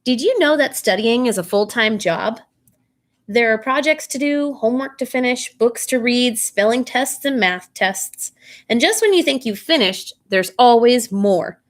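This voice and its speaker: A woman with a fairly high-pitched voice reads a bedtime story for children. Her delivery is expressive and animated, and she speaks directly to her young listeners, asking them questions as she goes. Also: a little slow.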